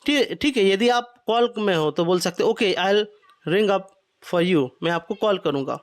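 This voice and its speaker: A man in his twenties from Madhya Pradesh teaching English phrases, explaining in Hindi and repeating each phrase slowly. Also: high-pitched. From Madhya Pradesh, India. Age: 20s. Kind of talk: spoken English lesson.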